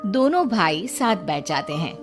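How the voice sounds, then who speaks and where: A woman's voice: on the low side, sing-song, a little slow. A woman reading a scripted story as its narrator. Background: background music.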